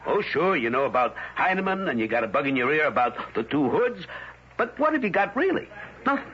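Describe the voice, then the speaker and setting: An actor in an old-time radio drama playing a skeptical, hard-nosed newspaper editor who talks in blunt, challenging bursts. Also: male voice, fairly high pitch, sing-song, a little fast, bright, forceful tone, slightly rough, exaggerated voice. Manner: blunt, challenging, clipped questions. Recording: muffled, noisy old radio recording.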